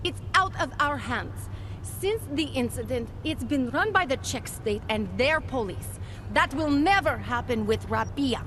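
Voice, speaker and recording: High-voiced, fervent corporate recruiter. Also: female voice, expressive, unhurried, a bit bright, slight background noise. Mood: defensive, assured. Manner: fervent, polished sales pitch delivery. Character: earnest, persuasive female corporate recruiter, idealistic true believer. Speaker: company representative. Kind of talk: video game dialogue acting.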